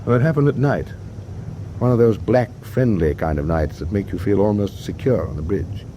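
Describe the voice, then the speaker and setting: An actor playing a middle-aged British warship captain in a wartime radio drama, reflective and kindly, with measured, clipped officer speech. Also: male voice, lowish voice, animated, warm tone, slight background noise, lightly acted. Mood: grave, haunted.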